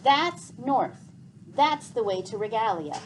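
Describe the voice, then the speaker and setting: Woman playing an eager, high-voiced young boy hero. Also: slow pace, slight background noise, low-quality recording. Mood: rallying. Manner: urgent, excitable, calling out. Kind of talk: storybook character voice.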